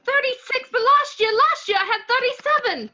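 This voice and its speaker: A woman's voice: very high-pitched, normal speed, pushed and bright, full character voice. A woman playing a spoiled, whiny young boy in an amateur table read, on a muffled home recording. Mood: demanding, outraged.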